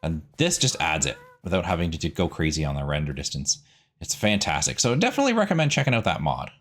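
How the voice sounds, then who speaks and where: Low-voiced, very animated man chatting over gameplay. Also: fast talker. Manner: chatty, informal, thinking out loud. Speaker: gaming video host. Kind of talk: casual gameplay commentary.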